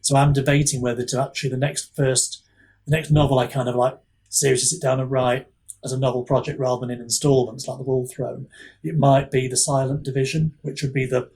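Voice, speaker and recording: Male podcast guest, husky mid-pitched voice, casual and self-deprecating, thinks aloud in halting, rambling runs. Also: flat delivery, a little mellow, poor audio. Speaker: guest author.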